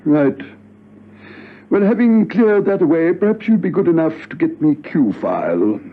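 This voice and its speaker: An actor playing a suspicious, officious government boss who starts hesitantly in a radio drama. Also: male voice, on the high side, big pitch swings, measured pace. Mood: grudging, officious. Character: fussy, suspicious male government department boss. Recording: muffled phone-like old radio recording.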